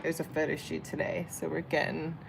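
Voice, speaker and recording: A young woman rambles casually to her livestream viewers, her voice low and gravelly with some vocal fry. She chats loosely while doing her makeup. Faint background noise sits under the recording. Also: monotone, fairly quick, rough recording. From San Diego, California. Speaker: livestreamer and tarot reader. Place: home in Vietnam.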